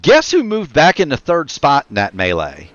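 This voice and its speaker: A man calling a virtual stock car race as play-by-play commentator, quick and excitable on the action, his voice getting tired. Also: fairly high pitch, no room sound, lo-fi sound. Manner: rapid excited play-by-play, self-correcting. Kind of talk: sim racing commentary.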